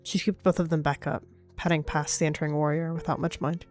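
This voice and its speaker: A woman's voice: low voice, flat delivery, a little fast, a little mellow, faint music behind. A woman telling a dramatic story as a third-person narrator.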